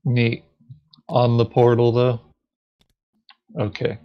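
A man's voice: medium pitch, monotone, slow pace, clean tone, dull audio. A man playing a board game, chatting casually in a dry, laconic way. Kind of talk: casual gaming chat.

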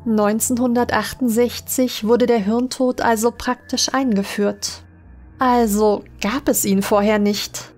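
A woman reading scripted horror stories as an audiobook narrator, in a measured, dramatic manner. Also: on the high side, fairly even intonation, darkish tone. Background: music under the voice.

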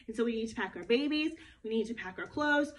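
A crowd of fretful runaways in a storybook, chattering fast in high, worried voices. A woman acts them out for young children, rushing her words. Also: animated, natural voice. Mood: excited. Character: frightened fleeing people, excited crowd voice.

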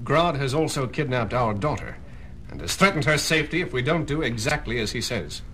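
An actor playing an earnest, anxious captive scientist in a children's audio comic, explaining with urgency. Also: male voice, mid-range voice, animated, fairly quick, a bit bright, a little hiss or hum, lightly acted. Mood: troubled. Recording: music bed under voice.

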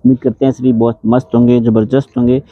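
Man, middle pitch, explanatory update style, dry phone-like sound, addressing viewers. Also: little pitch movement, fast, dry recording. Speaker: exam-prep channel host. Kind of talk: informal news update, reading out an official notice.

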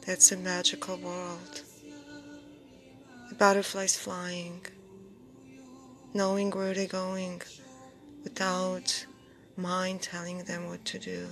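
Soft breathy lady doing guided meditation, really slow n soothing, music behind her. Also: fairly low pitch. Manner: soothing, unhurried, short phrases with pauses. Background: background music.

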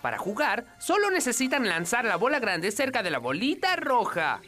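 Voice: medium pitch, wildly swooping pitch, moderate pace, bright, forceful tone. A performer voicing a proud, eccentric inventor animal in a cartoon, explaining things with self-importance. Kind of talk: animated cartoon dub acting. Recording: music behind the voice.

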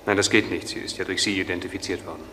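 A man's voice: low-pitched, fairly even intonation, very fast, pushed and bright, slight background noise. An actor playing a businesslike male police detective, matter-of-fact and probing, in a German radio crime drama.

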